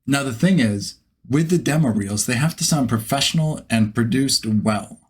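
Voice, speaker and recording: A male vlog host with a medium-pitched voice and a slightly husky edge. He talks casually and conversationally, like someone explaining a project as he goes. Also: expressive, normal speed. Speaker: video host, beginner voice actor.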